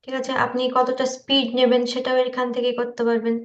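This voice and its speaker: High-voiced, even-toned woman tutor. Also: fast. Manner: hesitant, checks listeners understand. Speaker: tutor demonstrating video animation software. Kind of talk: informal screen-share tutorial. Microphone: online meeting call. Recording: dull lo-fi online call audio.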